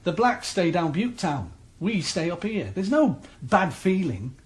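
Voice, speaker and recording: Calm, threatening crime boss; understated radio-play menace. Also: male voice, sits high, a little slow. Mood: menacing. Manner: menacing, matter-of-fact. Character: cold, menacing middle-aged male crime boss. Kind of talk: radio drama acting.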